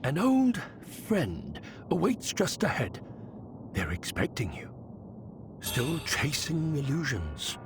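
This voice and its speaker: An actor playing a sly shapeshifting deity posing as a wandering bard in a video game, speaking in a theatrical, cryptic, teasing manner. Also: male voice, a little high, huge pitch swings, slow, noisy background, heavily acted. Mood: knowing, cryptic. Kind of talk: scripted video game dialogue acting.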